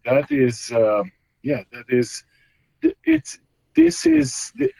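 A man's voice: medium pitch, huge pitch swings, takes it slowly, gravelly, warm tone, slightly rough, dry recording, poor audio. A middle-aged man from Belgium, a guest explaining his custom Jeep builds in casual talk, with many fillers and restarts.

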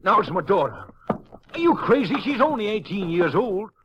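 An actor playing a middle-aged, defensive rural Texan suspect who protests in folksy speech, 1950s radio drama style. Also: male voice, high voice, big pitch swings, moderate pace, muffled recording. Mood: indignant. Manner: folksy, drawling grammar, protesting. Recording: noisy vintage 1950s radio recording.

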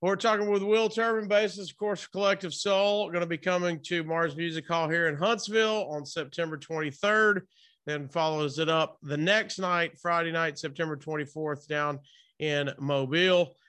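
A man's voice: a little high, fairly even intonation, normal speed, sharp tone, clear, resonant tone. A man hosting a casual interview, chatting in an informal, rambling, buddy-like way. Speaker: interview host.

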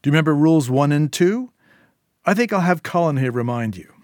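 A man's voice: on the high side, expressive, fairly quick, a little mellow, no echo, clean studio sound, voice pushed up, lightly acted. A man voicing a suave crime boss who is coldly polite and threatening. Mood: menacing. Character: suave menacing male London crime boss.

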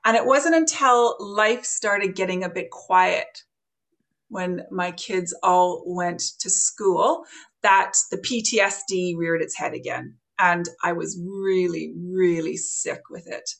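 Middle-aged Canadian woman, thoughtful podcast guest, low gravelly tone. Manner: soft-spoken, thoughtful, many pauses and fillers. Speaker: podcast guest, meditation teacher. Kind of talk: reflective conversational storytelling.

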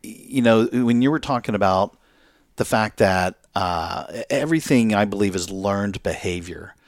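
A man hosting an interview conversation, thoughtful, building his points slowly and asking sincere questions. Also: fairly low pitch, no room sound, studio quality. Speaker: podcast host and author.

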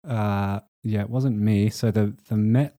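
A low, warm-toned man talking as a musician guest. He speaks loosely and hesitantly, often laughing, in a dry, close sound. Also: fairly even intonation, measured pace, no room sound. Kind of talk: casual interview.

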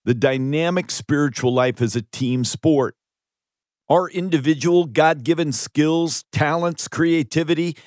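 A man's voice: mid-range voice, no room sound. Dry, close-miked man narrating with earnest emphasis. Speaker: author reading own audiobook. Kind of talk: scripted audiobook narration, preacherly.

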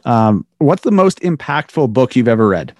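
A man hosting a podcast, relaxed and casual, firing off quick this-or-that questions to his guest. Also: medium pitch, no room sound. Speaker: podcast host.

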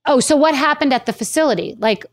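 A woman's voice: high voice, lively intonation, quick pace. A woman hosting a podcast interview, firing off eager questions that stumble and restart. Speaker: podcast host. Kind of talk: interviewing a guest.

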